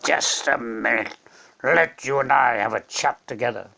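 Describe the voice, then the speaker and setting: A man voicing a great lion king in a storybook, calm, solemn and authoritative, with measured, deliberate speech. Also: mid-range voice, creaky, slight background noise, voice pushed down, heavily acted. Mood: stern, calm.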